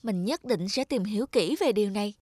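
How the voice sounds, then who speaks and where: A woman's voice: mid-range voice, animated, brisk, lightly acted. A woman voicing a polite, earnest, hardworking poor servant boy in a children's cartoon. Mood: dejected, then amazed. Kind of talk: dubbed children's cartoon dialogue. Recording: loud music behind the voice.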